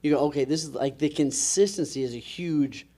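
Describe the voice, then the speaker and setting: Fast-talking man with a mellow voice. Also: on the high side. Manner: enthusiastic, affirming, asks leading questions. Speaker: show host. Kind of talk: casual interview talk.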